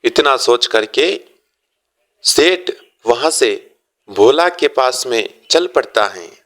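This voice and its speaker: A man narrating a scripted moral story for children, deliberately, phrase by phrase. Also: medium pitch, lively intonation, fairly bright tone.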